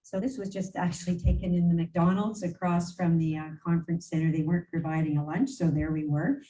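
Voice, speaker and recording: A woman's voice: on the low side, fairly even intonation, brisk, dark tone. Chatty woman heard from across a room. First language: English. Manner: rambling, chatty, many fillers and restarts. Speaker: regional council warden reporting to mayors. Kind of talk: meeting report, casual and unscripted. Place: council meeting room. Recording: distant voice, faint room noise.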